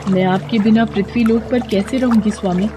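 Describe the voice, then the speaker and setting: A woman's voice: on the high side, fairly even intonation, brisk, music in the background, close to an everyday voice. A woman voicing a proud regal goddess. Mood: pleading. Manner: dignified, haughty. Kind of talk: storybook voice acting.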